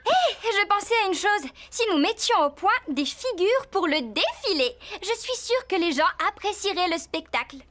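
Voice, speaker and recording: A woman's voice: very high voice, sing-song, normal speed, hardly any pauses, a bit bright, heavily acted. A woman voicing a sensible, kindly teenage girl in a cartoon dub, level-headed and reassuring. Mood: upbeat. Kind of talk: French cartoon dubbing.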